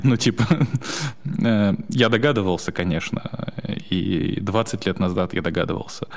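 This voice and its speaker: Low-voiced young man in easy podcast chat. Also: gravelly. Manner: halting, full of restarts, laughs between thoughts. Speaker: podcast co-host.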